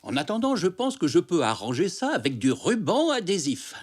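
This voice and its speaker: A man voicing a kindly, playful zebra grandfather in a children's cartoon, with an unhurried, reassuring and expressive manner. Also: on the high side.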